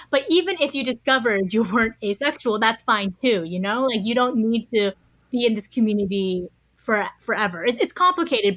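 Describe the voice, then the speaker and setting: A woman's voice: a little high, fairly bright tone, dry recording. A woman speaking as an interview guest, giving thoughtful, conversational answers. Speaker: interview guest, science writer.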